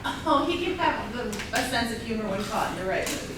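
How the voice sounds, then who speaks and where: Actress as party guest, slightly high voice, breezy, chatty, stage comedy reading, very echoey. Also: female voice, sing-song, normal speed. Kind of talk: theatrical comedy play reading. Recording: very echoey room, distant mic, noisy.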